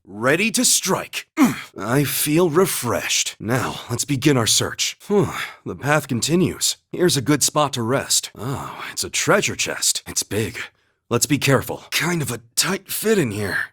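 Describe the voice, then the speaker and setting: An actor playing a calm, earnest young warrior in a video game, humble and loyal, with a measured, formal way of speaking. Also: male voice, mid-range voice, breathy edge, heavily acted. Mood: easygoing. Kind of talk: scripted video game voice acting, battle cries and story dialogue.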